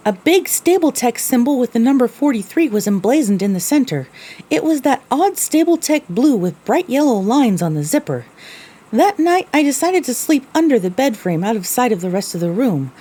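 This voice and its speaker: A woman's voice: on the high side, normal speed, slightly rough. A woman playing a young female pony, a weary, sarcastic wasteland survivor narrating her own story expressively in an audio drama. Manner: expressive, theatrical. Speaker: narrator and lead character.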